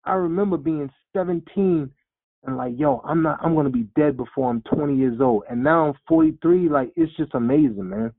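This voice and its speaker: Man in his forties, slightly high voice, animated storyteller, narrow phone-like sound. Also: moderate pace. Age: 40s. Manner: animated storyteller, acts out quotes. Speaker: guest, rapper. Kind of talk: storytelling interview.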